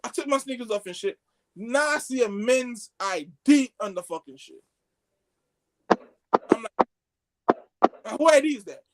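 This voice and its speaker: A man telling a story among friends on a podcast, excitable and slangy, acting out the dialogue as he goes. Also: extremely high pitch, measured pace, long pauses, rough recording. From Haitian background. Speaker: podcast co-host.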